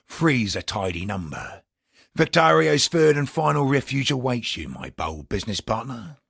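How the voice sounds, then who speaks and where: An actor playing a roguish, wry smuggler in a fantasy game, with a gravelly, raspy voice and sardonic speech. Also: male voice, mid-range voice, fairly bright tone, no echo, studio quality, heavily acted. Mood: sly, conspiratorial. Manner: colloquial working-class speech, sly and sardonic. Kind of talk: in-game character dialogue.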